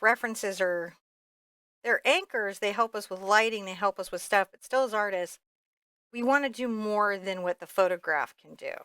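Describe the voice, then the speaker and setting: A woman's voice: medium pitch, fairly bright tone, clear, resonant tone, no room sound. A woman giving a casual step-by-step painting lesson, thinking aloud in a candid, informal way with rambling asides. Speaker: painting instructor.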